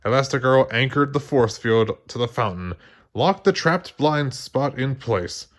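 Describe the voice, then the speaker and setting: A man narrating a children's story aloud in an expressive read-along style. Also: mid-range voice, unhurried. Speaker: storybook reader.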